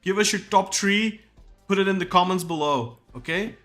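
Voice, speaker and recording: A man's voice: high voice, moderate pace, a bit bright, faint music behind. A man co-hosting a casual football podcast, chatting and reading viewer comments aloud. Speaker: podcast co-host.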